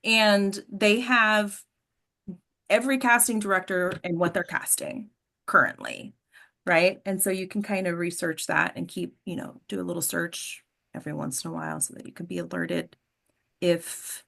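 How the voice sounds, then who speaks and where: A woman's voice: lowish voice, steady tone, moderate pace, vocal fry, bright, forceful tone. A woman hosting an informal workshop discussion as an acting coach, instructive and often checking in with the group. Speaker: host and acting coach leading a table read discussion.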